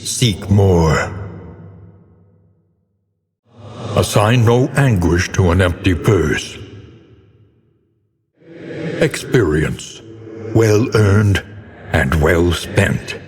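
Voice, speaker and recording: Brooding older gothic narrator, low-pitched, solemn and theatrical, measured literary diction, long echoing reverb, dark fantasy game. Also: male voice, full character voice. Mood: grave, portentous. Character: grave, brooding, scholarly older male narrator of doom.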